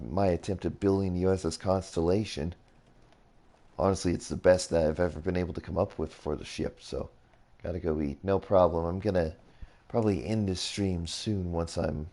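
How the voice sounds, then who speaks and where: A young man casually rambling through a game tour as a streamer, his voice a little raspy, often reacting with surprise. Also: low voice, fairly even intonation, moderate pace, dark tone. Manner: rambling, easily impressed, mild swearing. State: slightly raspy voice. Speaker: gaming streamer. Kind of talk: casual game commentary while touring builds.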